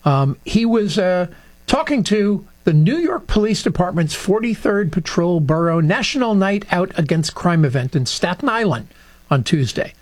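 A high-pitched man co-hosts a talk radio show. He tells stories and drops in dry, sarcastic remarks. He keeps a conversational, chatty tone throughout. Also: animated, normal speed. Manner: storytelling, sarcastic asides. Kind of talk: casual political radio talk.

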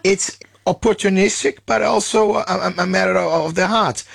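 A hoarse, gravelly man, talking in a lively, restarting way as an interview guest. His voice sits a bit high for a man and sounds close and dry, with no room around it. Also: moderate pace, no room sound. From Brazil. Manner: animated, restarts words, laughs easily. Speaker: journalist guest. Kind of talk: conversational interview.

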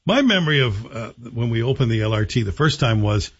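A male radio host with a slightly husky voice sounds a little muffled on broadcast audio. He asks questions and offers his views in an easy, conversational tone. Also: medium pitch, animated, a little fast. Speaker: radio talk show host. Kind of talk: radio interview.

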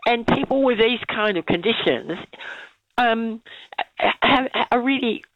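A woman calls in to a radio show. She has a cold, so her voice is croaky, and she rambles anxiously with lots of ums. The call sounds dull and dry, like a phone line. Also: fairly high pitch, lively intonation, unhurried, no echo. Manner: anxious, rambling, many fillers. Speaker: caller.

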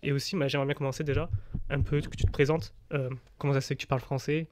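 A man's voice: medium pitch, little pitch movement, racing, background noise. A man hosting a casual, conversational radio interview in a studio.

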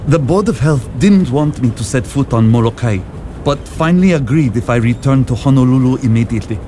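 An actor playing a grave, authoritative bishop who gives measured instructions in an audio drama, with music beneath. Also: male voice, mid-range voice, animated, mellow, slight background noise, close to an everyday voice.

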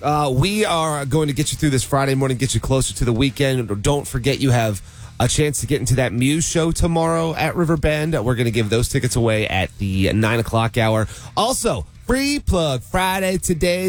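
A man's voice: mid-range voice, expressive, normal speed, faint music behind. A man hosting a morning radio show in casual chat, easygoing and chatty, sounding a little nasal and congested from a cold, with a slightly sore throat. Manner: easygoing, chatty, laughs often. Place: radio studio.

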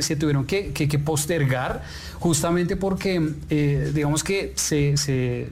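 A man's voice: a little high, a little mellow, a little hiss or hum. A Colombian man with a Colombian accent hosting a technology show, asking hesitant, long-winded questions full of restarts. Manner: hesitant, many fillers and restarts. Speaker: tech show host. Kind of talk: interview questions.

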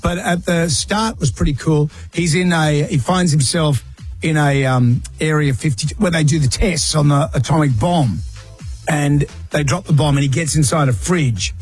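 Jokey middle-aged radio host. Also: male voice, on the high side, moderate pace, slightly rough, soft background music. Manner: jokey, rambling, teasing. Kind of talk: casual drive-time radio banter. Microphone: studio microphone.

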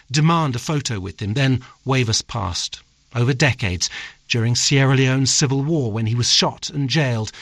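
A male foreign correspondent narrates a scripted radio essay at a measured, storytelling pace. His pitch sits in the middle of the male range, and the recording is close and dry. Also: no room sound.

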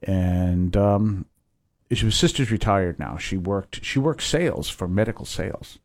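A low-voiced man in his fifties from Massachusetts speaks as a priest in a radio interview. He wanders through his answers with lots of pauses and restarts. The sound is close and dry. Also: lively intonation, darkish tone, no echo. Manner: rambling, with many fillers and restarts. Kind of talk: conversational interview.

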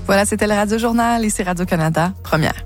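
A woman reading a radio newscast in a studio, measured and formal. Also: medium pitch, over loud music. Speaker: news anchor.

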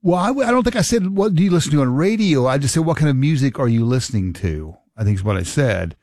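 A man's voice: fairly high pitch, very animated, fast talker, a little mellow, no room sound, studio quality. A man in his late fifties hosting a call-in radio show, teasing callers and rambling with many restarts. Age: 50s. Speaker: radio talk show host. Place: radio studio. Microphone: studio microphone.

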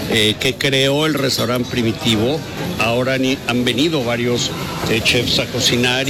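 A man with a Mexican accent and a medium-pitched voice hosts a radio interview. He talks conversationally and often pauses partway through a phrase. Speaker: radio host interviewing a guest.

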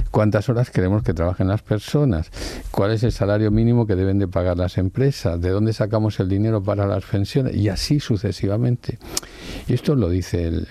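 A man in his sixties, a judge and law professor whose first language is Valencian, explaining his career in a measured, reflective interview. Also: on the low side, creaky, mellow, no room sound. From Spain. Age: 60s. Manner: measured, explanatory, pauses to rephrase.

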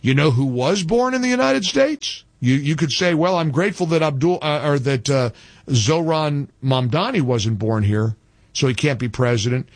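A man's voice: fairly high pitch, animated, normal speed, no room sound. A male talk radio host speaking combatively, often repeating phrases for emphasis.

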